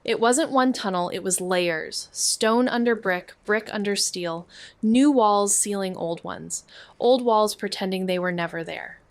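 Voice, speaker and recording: A woman playing a moody, wry young noir narrator with a terse, deadpan delivery in an audio drama. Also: medium pitch, measured pace, dry recording, natural voice. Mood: brooding. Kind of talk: scripted noir narration and dialogue.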